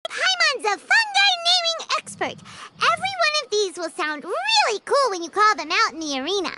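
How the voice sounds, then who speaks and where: A woman's voice: extremely high pitch, very animated, moderate pace, heavy background noise. A woman voicing a tiny, cheerful, chatty childlike fairy girl companion in a video game. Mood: proud, delighted. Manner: childlike, speaks of herself in third person. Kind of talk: English video game voice acting.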